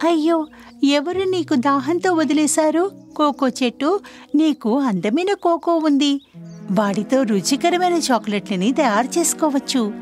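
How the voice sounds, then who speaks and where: A woman voicing a kind-hearted young girl in a children's cartoon story, earnest and expressive. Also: high voice, unhurried, soft background music, voice pushed up. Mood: tender.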